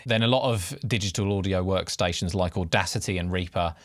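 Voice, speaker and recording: A man's voice: sits low, a little fast, no room sound, studio quality. A man presenting scripted tutorial narration in an informal, explanatory way, giving stress to key headings. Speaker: presenter of podcast production tips.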